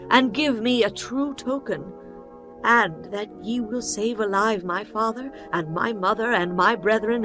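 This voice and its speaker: A woman's voice: mid-range voice, a bit bright, lightly acted. A woman voicing an earnest, clever innkeeper woman pleading in a dramatized scripture reading.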